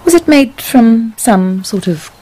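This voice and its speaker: A snobbish society wife in a period radio drama. Her voice is breathy and swoops widely as she gushes and flutters in a mannered, theatrical way. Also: fairly high pitch, lively intonation, slow pace, mellow. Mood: coy, breathy. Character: fluttery, snobbish society wife, doting mother, cold stepmother.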